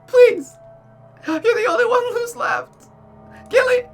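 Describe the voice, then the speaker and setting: A woman's voice: very high voice, slow pace, faint music behind, voice pushed up. A woman voicing a spiteful, unhinged young woman killer from a visual novel, dramatic, pleading and tearful. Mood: sobbing, grieving.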